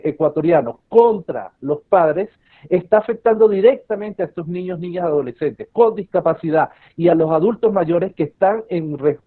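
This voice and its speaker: Venezuelan guy, kinda high voice, earnest and halting, tinny call audio. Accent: Caracas Venezuelan Spanish. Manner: earnest, hesitant with frequent fillers. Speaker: civil association representative. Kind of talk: formal advocacy statement. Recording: tinny phone-like line.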